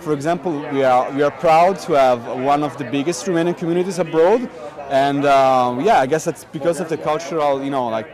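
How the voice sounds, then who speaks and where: Italian man chatting at a noisy festival. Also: fairly high pitch. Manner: friendly, halting, many fillers. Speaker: festival guest. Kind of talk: casual street interview. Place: outdoor festival. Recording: outdoor crowd noise behind.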